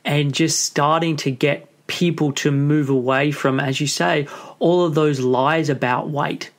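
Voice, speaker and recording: A man hosting a podcast interview as a nutritionist, speaking in a measured, agreeing way. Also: a little high, steady tone. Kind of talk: interview conversation.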